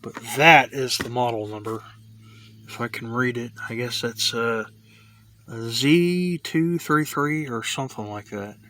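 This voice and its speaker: A man giving a casual, tentative show-and-tell commentary in a halting way, like a hobbyist vlogger. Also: medium pitch, bright, forceful tone, a little hiss or hum.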